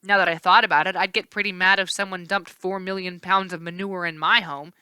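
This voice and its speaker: A woman reading a children's novel aloud as an expressive storyteller. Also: lowish voice, brisk, clear, resonant tone, dry recording. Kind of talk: expressive audiobook-style narration of a children's novel.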